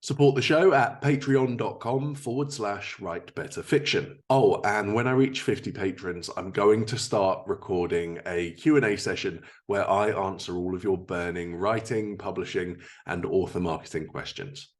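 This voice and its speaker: A man with a fairly low voice hosts a podcast. He delivers prepared segments and introductions in a presenter's style, speaking directly to his listeners. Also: normal speed, few pauses. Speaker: podcast host. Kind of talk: podcast hosting, scripted updates and interview.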